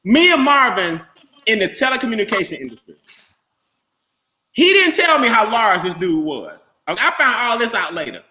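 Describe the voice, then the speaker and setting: High, bright-voiced animated man storyteller. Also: moderate pace. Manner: animated, loud, laughing storyteller with slang. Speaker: host and trainer. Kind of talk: storytelling on a group video call. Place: home room on video call. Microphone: webcam or laptop mic on video call. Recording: echoey room, faint noise.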